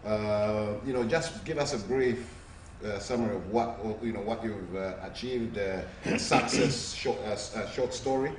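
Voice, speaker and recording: Rambling low-voiced man from Zambia hosting. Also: measured pace. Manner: chatty, rambling, hesitant fillers. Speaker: TV show host. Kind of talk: interview questions. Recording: echoey room, distant mic, faint noise.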